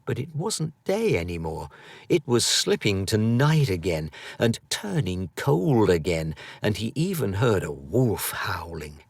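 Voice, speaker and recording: A man reads a scripted story with theatrical flair. His fairly low voice takes its time and rises and falls with great variety. The sound is dry. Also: sing-song, a little slow, vocal fry, slightly rough, no room sound. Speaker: audiobook narrator.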